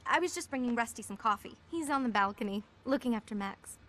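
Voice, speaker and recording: An actress playing a bubbly, starstruck young small-town waitress in a video game, chirpy and gushing. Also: female voice, on the high side, lively intonation, quick pace, fairly bright tone. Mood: excited.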